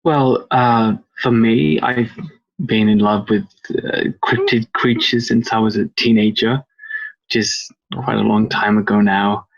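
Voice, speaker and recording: Gravelly, husky middle-aged man from Wales. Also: fairly low pitch, steady tone, unhurried, lo-fi sound. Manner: rambling, enthusiastic, many fillers. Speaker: cryptozoology author. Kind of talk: interview answers.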